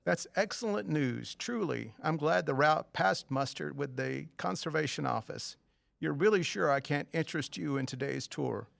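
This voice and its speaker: A man's voice: on the high side, expressive, normal speed, gravelly, a bit bright, dry recording, heavily acted. An actor playing a hearty, fussy middle-aged tour guide and father in an audio drama, genial and a little formal.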